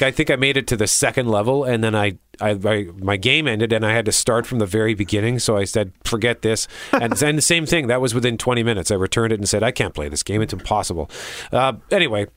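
A man's voice: mid-range voice, fairly bright tone, no room sound. A man co-hosting a show, chatting casually and with animation about entertainment. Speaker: show co-host. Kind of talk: casual chat reviewing entertainment.

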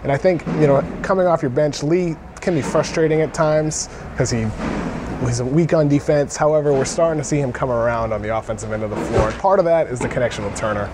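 A man's voice: medium pitch, fairly quick. A man giving casual, analytical basketball commentary as a guest on a panel. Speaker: sports writer, panel guest. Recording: faint urban background noise.

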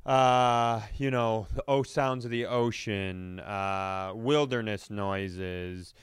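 A man's voice: on the low side, slow pace, smooth, no room sound. A man co-hosting a comedy podcast, telling anecdotes in a casual, rambling way with some swearing. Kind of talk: casual comedic storytelling chat.

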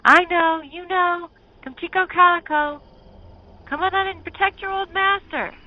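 A frightened old mountain man calls out loud and high in a rustic, folksy way, as a woman puts him on. The sound is slightly dull, with faint noise. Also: fairly even intonation, measured pace. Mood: calling, scared.

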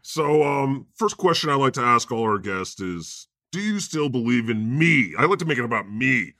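A man hosting a comedy podcast in the persona of God, joking in a deadpan, self-mocking way. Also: medium pitch, normal speed, dry recording, clean studio sound. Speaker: podcast host.

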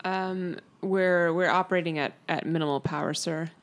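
Woman player, fairly low voice, hesitant and polite. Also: steady tone, moderate pace.

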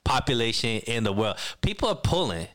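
A man of Caribbean background talks casually, probing with questions and laughing often. His voice is bright and a bit sharp. He sits at a middle pitch. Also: sing-song, a little fast. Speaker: podcast co-host. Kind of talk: casual chat.

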